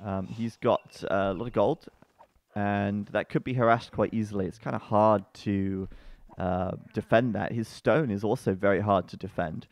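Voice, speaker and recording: A man casting a strategy game live, his voice sitting fairly low and sounding bassy. He talks in a loose, chatty stream with plenty of fillers, then jumps up into loud excited cries when a fight goes wrong. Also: moderate pace, dry recording. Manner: chatty, breaks into excited outbursts. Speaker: esports commentator.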